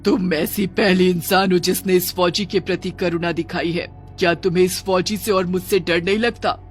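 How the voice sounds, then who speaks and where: A woman's voice: fairly low pitch, steady tone, moderate pace, lightly acted. Menacing cartoon forest witch, voiced by a female narrator: embittered, challenging, dramatic, over light music. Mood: astonished. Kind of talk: children's horror cartoon dialogue.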